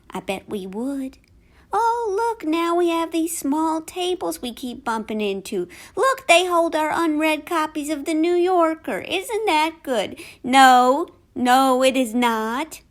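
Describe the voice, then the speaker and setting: A woman playing a defensive, food-obsessed squirrel posing as a human in a comic monologue, halting and over-insistent. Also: sits high, animated. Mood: indignant.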